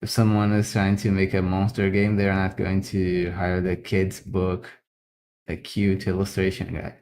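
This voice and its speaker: A man's voice: deep voice, monotone, unhurried, darkish tone. A man whose first language is Brazilian Portuguese, speaking English with a Brazilian accent. He talks casually while drawing, stopping and repeating words as he thinks. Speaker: illustrator.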